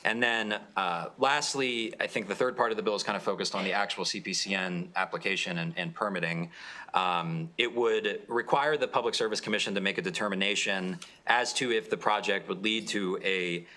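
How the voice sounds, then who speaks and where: A young man, a legislator, explaining his bill to a committee in a halting way with many fillers. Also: sits low, bright, forceful tone. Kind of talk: testimony at a committee hearing.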